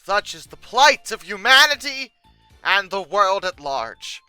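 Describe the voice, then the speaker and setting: A video host giving comedic commentary on pictures with theatrical mock exasperation, swinging between deadpan asides and outbursts. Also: medium pitch, unhurried, clear, resonant tone, dry recording, faint music behind, low-quality recording.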